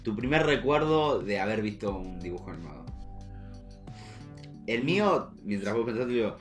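A young man with an Argentine accent chatting casually as a podcast co-host, slangy and halting, with false starts. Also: fairly low pitch, big pitch swings, faint music behind. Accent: Argentine Spanish. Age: young adult. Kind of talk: casual chat.

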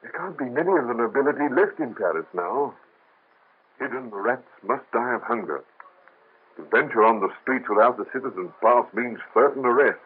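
Brief: a wary friend, animated low voice, husky, tinny vintage radio sound. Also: male voice, normal speed. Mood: earnest. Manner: urgent, warning. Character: Camille, cautious male friend and colleague. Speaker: radio drama actor. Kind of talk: 1930s radio acting. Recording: tinny lo-fi old radio recording.

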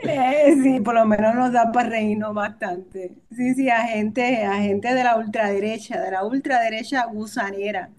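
Puerto Rican woman author as a guest, somewhat higher voice, animated, restarts phrases. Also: unhurried, hardly any pauses, crowd noise. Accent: Puerto Rican Spanish. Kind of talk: interview answers.

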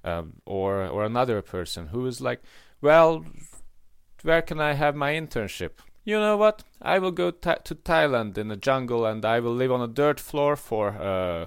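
A man's voice: mid-range voice, clear, resonant tone, dry recording, studio quality. A young man from Hungary with a Hungarian accent, co-hosting a casual podcast chat and talking reflectively with many restarts. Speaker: podcast co-host. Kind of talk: casual conversation.

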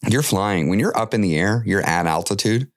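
A man's voice: fairly low pitch, lively intonation, moderate pace, no room sound, clean studio sound. A man hosting his own podcast while fighting a cold, talking in an earnest, friendly, chatty way with lots of fillers. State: has a cold, slightly stuffy. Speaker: podcast host and weight loss coach. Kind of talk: solo podcast monologue.

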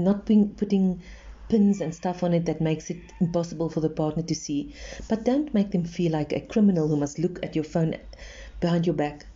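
Earnest woman, mellow voice. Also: fairly low pitch, highs cut off. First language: Afrikaans. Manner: earnest, reassuring, repeats for emphasis. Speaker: relationship advisor. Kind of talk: advice monologue.

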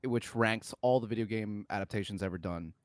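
A man's voice: fairly low pitch, brisk, no echo. A man co-hosting a casual film podcast, talking in an emphatic, exasperated, sweary way. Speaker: podcast co-host. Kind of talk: casual opinionated film discussion.

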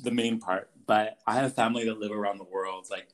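A young Canadian man, a photographer, answers interview questions in a casual, earnest way, halting often with fillers and restarts. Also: on the low side, steady tone. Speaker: guest photographer.

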